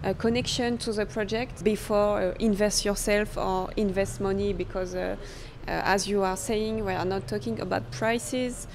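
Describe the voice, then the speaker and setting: A French-accented woman interviewing a guest in English at a conference, pausing often to search for her words. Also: medium pitch, steady tone, a little mellow, a little hiss or hum. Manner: halting, searching for words with many fillers. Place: crypto conference venue.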